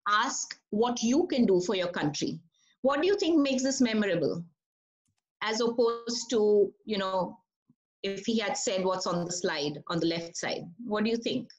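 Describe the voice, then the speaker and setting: A woman's voice: mid-range voice, lively intonation, measured pace, poor audio. Woman leading an online writing workshop. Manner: conversational, asks the group questions.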